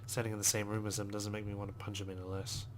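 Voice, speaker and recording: A man reading visual novel text aloud as a let's player, in a measured, understated storytelling manner with brief asides. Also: lowish voice, completely flat delivery, slight background noise. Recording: music behind the voice. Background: game music.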